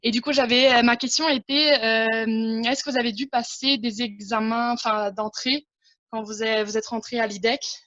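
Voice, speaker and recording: A woman with an Italian accent asking a question on a video call, polite and halting with many fillers. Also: a little high, fairly even intonation, fairly bright tone, no echo, highs cut off. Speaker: audience member asking a question.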